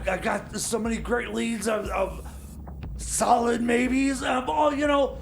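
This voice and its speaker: A man with a very high, gravelly, excitable voice. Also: unhurried, slight background noise. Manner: excitable, stammering, bursts into shouts. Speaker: comedy co-host. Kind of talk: comedic radio banter.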